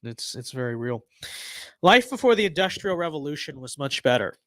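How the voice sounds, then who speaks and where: Young man, hoarse, rambling livestream talk. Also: on the high side, big pitch swings, a little fast, fairly bright tone, dry recording, studio quality. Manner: rambling, filler-heavy, many restarts. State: losing his voice. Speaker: livestream host. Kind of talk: casual livestream commentary.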